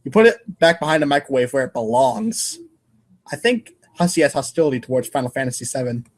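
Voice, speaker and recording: Wry man reading comic narration, slightly high voice. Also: animated, quick pace. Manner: wry, irreverent, stumbles over lines. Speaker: podcast co-host and reader. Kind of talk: casual read-aloud of comic narration.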